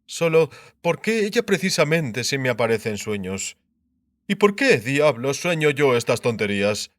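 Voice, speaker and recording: A man's voice: mid-range voice, very animated, no room sound, studio quality, lightly acted. A man voicing a shrewd, grumbling king and sardonic husband in an audiobook, musing aloud. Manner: musing aloud, muttering, drawn-out syllables. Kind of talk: dramatised audiobook character acting.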